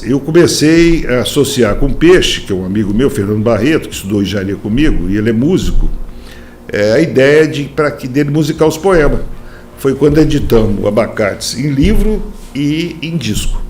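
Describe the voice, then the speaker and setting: A man's voice: mid-range voice, expressive, moderate pace, a little hiss or hum. A Brazilian-born man in his seventies, a poet and interview guest, talking in a reflective, rambling way and often tagging "né?". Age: 70s. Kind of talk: casual interview chat.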